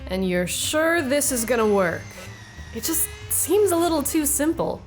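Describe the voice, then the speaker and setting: Woman playing a high-voiced, animated young college nerd. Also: a little slow, soft background music, low-quality recording. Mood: sceptical. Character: caring, sceptical young female college nerd. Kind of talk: audio drama acting.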